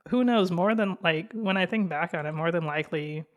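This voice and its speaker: Young woman podcast host with a slow, flat, monotone voice, casual and candid. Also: fairly low pitch. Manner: laid-back and candid, with frequent laughs and fillers. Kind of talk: casual solo podcast monologue.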